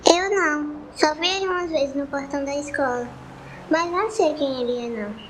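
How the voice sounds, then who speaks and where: A woman's voice: high voice, a little fast, slight background noise, close to an everyday voice. A woman playing an innocent, blunt little girl in a radio drama, with a northeastern Brazilian accent and simple childlike manner. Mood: matter-of-fact, blunt.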